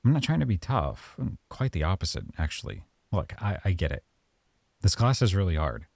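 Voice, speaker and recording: A man performing a calm, softly stern professor in an ASMR roleplay monologue, speaking close to the mic. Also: deep voice, a little fast, creaky, no room sound.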